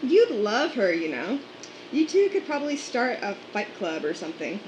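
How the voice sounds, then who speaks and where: A man's voice: high-pitched, sing-song, moderate pace, echoey room, a little hiss or hum, poor audio. A man voicing a wry tough young woman. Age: young adult.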